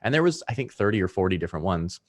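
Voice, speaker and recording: Low-voiced man chatting, lively host. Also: racing. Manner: explains at length, with many fillers and laughs. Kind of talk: casual chat.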